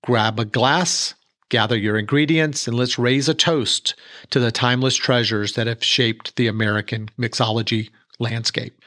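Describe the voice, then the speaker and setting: A man reporting for a local podcast, reading a scripted feature segment in a smooth, measured way. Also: medium pitch, steady tone, sharp tone.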